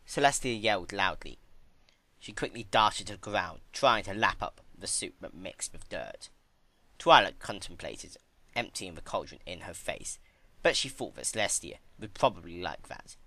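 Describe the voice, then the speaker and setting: Bright, animated narrator reading a story. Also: low voice, normal speed, dry recording. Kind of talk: dramatic fan fiction story reading.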